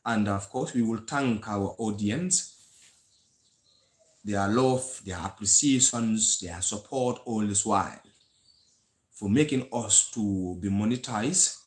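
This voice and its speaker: A man's voice: lowish voice, takes it slowly. Lecturing Gambian man, echoey room. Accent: Gambian English. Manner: earnest, lecturing with many uh fillers and restarts. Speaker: host of a history channel. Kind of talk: live-stream history talk.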